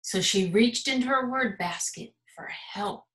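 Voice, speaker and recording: An American woman, a school principal, reading a children's story aloud in an animated, emphatic way. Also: on the high side, unhurried. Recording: roomy, echoing sound.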